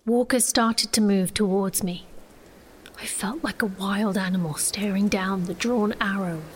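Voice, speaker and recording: A woman playing a sheltered young sorceress, anxious and stubborn, in an intimate, breathy dramatized first-person fantasy story. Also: medium pitch, steady tone, measured pace, slight background noise, lightly acted. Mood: breathless, tense. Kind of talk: dramatized first-person audio fiction narration.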